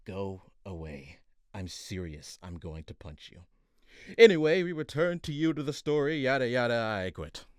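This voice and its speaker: A man's voice: mid-range voice, big pitch swings, measured pace, smooth, no echo, clean studio sound. Man as disgruntled, theatrical radio announcer. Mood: petulant. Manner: grandiose on air, petulant off it. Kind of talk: old-fashioned serial radio announcing parody.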